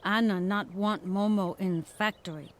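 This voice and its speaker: A simple village boy played by a woman in an adventure game. He speaks slowly and haltingly, in childlike broken phrases at a middling pitch. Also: natural voice. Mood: simple, earnest. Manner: halting, broken third-person speech. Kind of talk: video game character acting.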